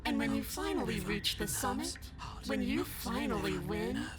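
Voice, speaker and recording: Creepy possessive horror game monster lady, high voice, super swoopy and mocking, loud music under it. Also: sing-song, moderate pace. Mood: gloating, contemptuous. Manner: taunting, smug, theatrical. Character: menacing, taunting captive female horror creature, coldly possessive. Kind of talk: video game voice acting.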